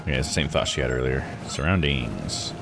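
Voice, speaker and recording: A man streaming a game, giving casual playthrough commentary as he thinks out loud and reacts. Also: very deep voice, brisk, gravelly. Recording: game music behind the voice. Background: game music.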